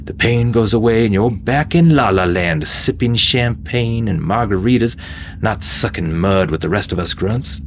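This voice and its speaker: A man voicing a gruff, bullying military drill sergeant who barks sardonic taunts in an audiobook. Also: fairly low pitch, moderate pace, dull audio, a little hiss or hum, pitched above the performer's own voice, lightly acted. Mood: mocking. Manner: loud, barking, sardonic. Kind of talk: dramatised audiobook character voice.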